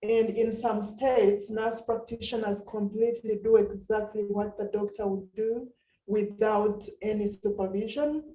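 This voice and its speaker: A woman, a nurse practitioner giving an informal talk at a meeting, pausing often with fillers. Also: mid-range voice, steady tone, warm tone, reverberant, distant mic, low-quality recording. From Uganda. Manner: halting, many fillers.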